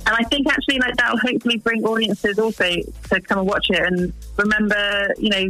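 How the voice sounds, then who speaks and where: A woman in her forties who grew up in East Africa, speaking as a wildlife filmmaker in an interview, earnest and pausing to find her words. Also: mid-range voice, fairly even intonation, pushed and bright, faint music behind, rough recording. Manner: earnest, searching for words with restarts. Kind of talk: interview guest.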